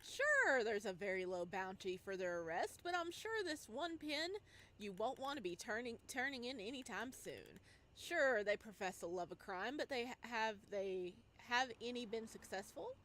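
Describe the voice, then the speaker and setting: A woman doing playful, expressive gameplay commentary, slipping between reading text aloud and exclaiming in singsong asides. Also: a little high, normal speed. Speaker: game streamer.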